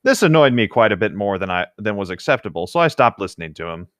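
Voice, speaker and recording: A man's voice: on the low side, sing-song, quick pace, no room sound, studio quality. A man reading novel narration aloud as a co-host, dropping into casual asides. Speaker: co-host of a comedic read-aloud show.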